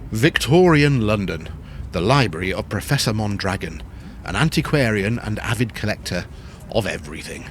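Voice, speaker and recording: A man's voice: fairly low pitch, expressive, normal speed, a little hiss or hum. A man narrating scene directions for an audio drama in a scripted style.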